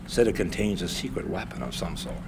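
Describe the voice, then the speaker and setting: A man's voice: low-pitched, very animated, creaky, noisy background, full character voice. A man reading the part of a nervous hotel manager at a live script reading. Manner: nervous, hurried.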